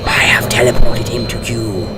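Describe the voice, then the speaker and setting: A man playing a cold, threatening lizard-like alien soldier in a sci-fi audio drama, speaking deliberately. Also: mid-range voice, steady tone, very noisy, close to an everyday voice. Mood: cold, dutiful. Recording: loud music over the voice.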